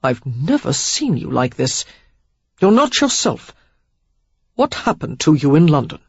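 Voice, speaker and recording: A woman's voice: deep voice, very animated, measured pace, husky, no room sound, dull audio, heavily acted. A woman reader voicing a defensive, sulky young man who chides his sweetheart. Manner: stiff, defensive, chiding. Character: young man, sulky, mother-bound suitor.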